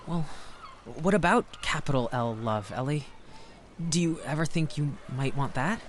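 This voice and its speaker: An actor playing a shy, smitten male friend in an audio drama, halting and awkwardly earnest. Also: on the high side, a little hiss or hum, lightly acted. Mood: tentative, probing. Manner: halting, tentative.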